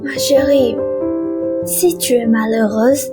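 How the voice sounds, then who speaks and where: Woman as a slow, tender mother over loud piano. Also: on the high side, fairly even intonation. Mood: tender, loving. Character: loving dying mother speaking last words to her daughter. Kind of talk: French radio play acting. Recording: loud piano music under voice.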